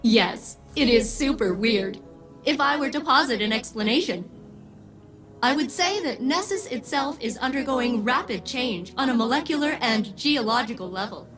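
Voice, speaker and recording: A woman's voice: on the high side, lively intonation, moderate pace, fairly bright tone, faint music behind. A woman playing an eager, centuries-old game AI with precise, formal enthusiasm. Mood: eager, polite. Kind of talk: video game character dialogue.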